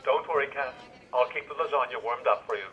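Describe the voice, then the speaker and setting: A man's voice: mid-range voice, brisk, a bit bright, soft background music. An actor playing a wry, fond middle-aged husband and father in a naturalistic radio play, heard through a telephone filter. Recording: dull, band-limited telephone line.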